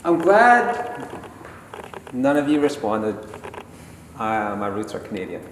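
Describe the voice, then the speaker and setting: A man lectures a gathering at a deliberate, conversational pace. His voice sits in the middle range and carries from a distance across a big, echoing hall. A faint hum lies underneath. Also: mid-range voice, big pitch swings. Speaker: guest speaker at a gala. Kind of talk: informal lecture, questioning the audience. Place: large hall. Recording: echoey hall from far off, faint noise.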